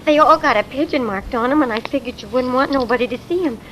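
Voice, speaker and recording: Anxious high-voiced young American wife. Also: female voice, quick pace, fairly bright tone, poor audio. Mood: frightened. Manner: breathless, fretful, uneducated colloquial speech. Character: anxious, simple, devoted young wife of an ex-con. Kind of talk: 1950s radio drama acting. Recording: hissy vintage radio recording.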